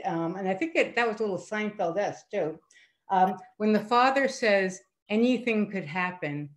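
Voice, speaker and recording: An older woman with a mid-range voice gives spontaneous feedback as a judge. She speaks emphatically and is openly complimentary. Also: mid-range voice. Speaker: guest judge at a story slam. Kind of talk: spontaneous spoken critique.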